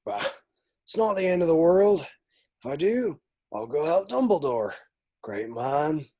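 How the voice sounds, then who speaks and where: A man playing a gruff, soft-hearted half-giant gamekeeper in a script read, folksy and protective. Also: on the high side, very animated, unhurried, slight background noise. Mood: gruff, earnest. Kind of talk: table read of a film script.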